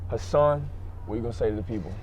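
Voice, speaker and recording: A man hosting an interview series, upbeat and casual, backing up his guest with quick affirmations. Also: mid-range voice, a little mellow, slight background noise. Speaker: interview host. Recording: dull lo-fi outdoor audio.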